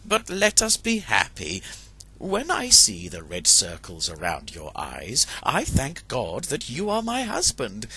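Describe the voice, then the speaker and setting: A man putting on a gentle hen wife. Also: wildly swooping pitch, measured pace, slight background noise, heavily acted, rough recording. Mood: soothing. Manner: soothing, high-pitched.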